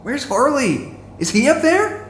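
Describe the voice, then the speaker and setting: A male actor plays a frank, exasperated colleague stuck in a secret facility. His voice is bright and insistent, full of questioning, with a roomy echo around this audio drama. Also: very high voice, very animated, unhurried, a little hiss or hum, full character voice.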